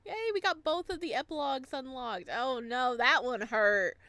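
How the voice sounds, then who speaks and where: A woman hosting a let's play, casually commenting and reacting with expressive, emotional exclamations. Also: sits high, moderate pace, no room sound. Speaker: let's-play host reading a visual novel aloud.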